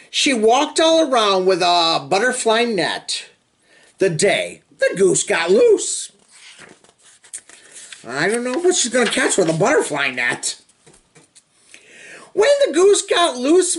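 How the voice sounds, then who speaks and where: A man reads a children's picture book aloud at a very slow pace. His pitch swings widely in a sing-song, expressive delivery, with drawn-out phrases and casual little asides to the young listener. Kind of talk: animated storybook read-aloud with chatty asides.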